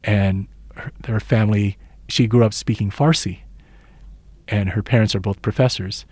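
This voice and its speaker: A man's voice: lowish voice, steady tone, normal speed, darkish tone, no room sound. A man in his sixties, originally from the Philippines, giving thoughtful, earnest interview answers as an education professor and telling stories from his teaching. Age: 60s.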